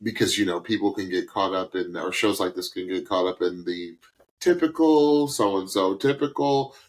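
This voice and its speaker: A middle-aged man speaks in a low voice. He gives casual reaction commentary as a co-host, leaning on repeated words for emphasis. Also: normal speed. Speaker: podcast co-host reviewing a singing show.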